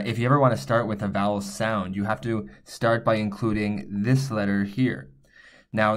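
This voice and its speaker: A man teaching a recorded language lesson, explaining patiently and thinking aloud with small fillers as he writes. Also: on the low side.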